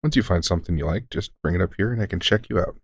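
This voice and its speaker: A man playing a deranged centuries-old elf shopkeeper who is courteous, formal and unsettlingly calm, in an audio roleplay. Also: sits low, rapid-fire, gravelly, husky, dry recording, clean studio sound, exaggerated voice. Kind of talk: scripted audio roleplay addressing the listener.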